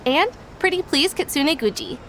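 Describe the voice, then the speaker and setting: A woman's voice: high voice, animated, normal speed, fairly bright tone, a little hiss or hum. A woman voicing an efficient, dutiful employee giving a businesslike report in an anime game. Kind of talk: anime game character acting.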